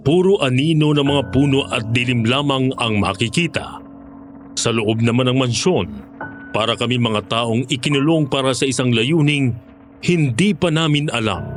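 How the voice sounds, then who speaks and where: A man's voice: medium pitch, lightly acted. A man narrating a first-person horror story as a former monster hunter recounting his experience.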